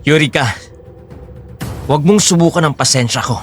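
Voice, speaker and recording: Man acting as controlling boyfriend, lightly high voice. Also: normal speed, soft background music, lightly acted. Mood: menacing. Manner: coaxing, mixes Tagalog with English phrases. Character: controlling, two-faced boyfriend feigning sweet concern. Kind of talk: Filipino audio drama acting.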